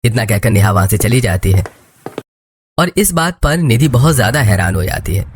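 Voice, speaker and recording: A man's voice: medium pitch, lively intonation, normal speed, a little hiss or hum. A man narrating a scripted cartoon story as its storyteller.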